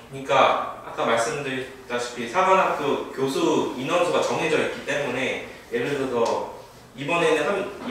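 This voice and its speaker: A man's voice: mid-range voice, fairly bright tone. A man answering questions politely and formally as an officer instructor at a recruitment briefing. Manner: polite, formal sentence endings. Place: classroom. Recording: echoey classroom, distant mic, noisy.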